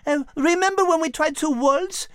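An elegant, sassy cat in an audio comedy. The voice sits high, with a French accent and a dry studio sound. The delivery is teasing and politely exasperated, sprinkled with French interjections. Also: female voice, moderate pace, fairly bright tone, no echo. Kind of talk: comedic radio-drama character banter.

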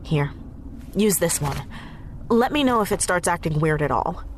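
Actress as blunt young woman activist, expressive. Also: on the low side, moderate pace, slight background noise, natural voice. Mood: tender, weary. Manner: blunt, plain-spoken. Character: Sarah, fragile but blunt young activist leader. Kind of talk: naturalistic audio drama acting.

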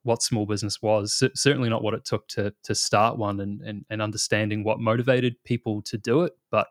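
A man in his thirties with a New Zealand accent, a guest in a casual interview who rambles in a relaxed way, with many fillers and restarts. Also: fairly low pitch, fairly quick, no room sound, clean studio sound. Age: 30s. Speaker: guest, product manager.

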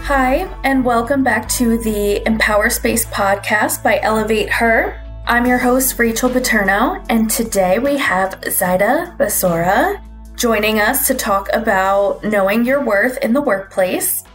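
A woman's voice: a little high, unhurried, soft background music. A young woman hosts a podcast, reading a scripted intro and then asking friendly, upbeat interview questions. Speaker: podcast host.

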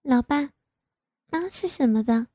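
A coy, pouting young married woman wheedles in a high, lifted voice. A woman plays her in a slow storybook reading, with a dry, close sound. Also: animated, dark tone, no room sound. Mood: wheedling.

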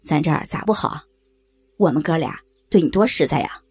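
A man's voice: on the high side, expressive, fairly quick, husky, soft background music. An actor playing a coarse, leering rural man with a Northeastern Chinese accent, blunt and pressing, in an audio drama. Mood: smirking. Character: coarse, leering rural brother-in-law, domineering and cajoling.

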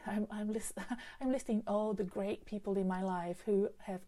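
A woman's voice: medium pitch, little pitch movement, normal speed, warm tone. A woman who speaks English as a second language with an accent, hosting a solo podcast about careers in a chatty way full of fillers and restarts. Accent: non-native English. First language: not English. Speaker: career coach podcast host. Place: home setup with a desk microphone.

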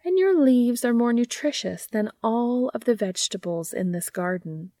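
A woman's voice: fairly high pitch, animated, normal speed, mellow, dry recording, clean studio sound, voice pushed up. A woman voicing a loving, nurturing female gardener in a storybook, speaking tenderly and soothingly. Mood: gently admiring. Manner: tender, lulling. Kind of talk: storybook character reading.

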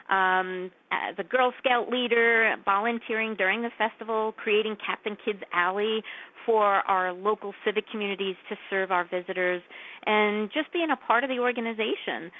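An earnest, enthusiastic woman talks as a radio guest about her work at a museum, and she laughs easily. Her voice comes through a thin, tinny phone line. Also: on the high side. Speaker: museum executive director, guest. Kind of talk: radio interview, casual chat.

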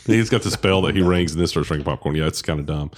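A man's voice: very deep voice, quick pace. A man co-hosting a podcast, recapping a film in casual chat, full of restarts and self-corrections. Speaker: podcast co-host. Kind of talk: casual movie discussion.

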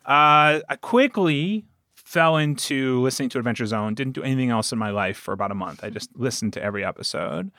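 Bright-voiced man, casual podcast storyteller. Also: mid-range voice, expressive, a little fast, dry recording, clean studio sound. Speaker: podcast producer and game master, interview guest.

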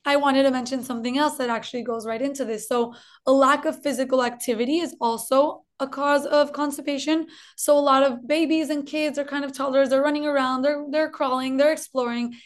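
A woman's voice: high-pitched, fairly even intonation, fairly quick, hardly any pauses. A woman in her thirties from Colombia with a slight Colombian Spanish accent, explaining things as a dietitian guest in a friendly way. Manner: friendly, explanatory, repeats for emphasis. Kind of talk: explanatory interview talk.

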